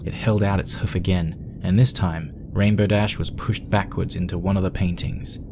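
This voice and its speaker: A man's voice: low voice, steady tone, normal speed, heavy background noise. A man working as narrator, reading a scripted horror story dramatically.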